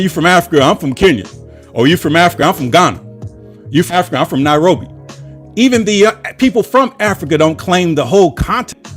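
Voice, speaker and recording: An American man hosting his own political commentary channel, delivering an impassioned monologue full of rhetorical repetition. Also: on the high side. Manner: preachy, with rhetorical repetition and emphatic lists.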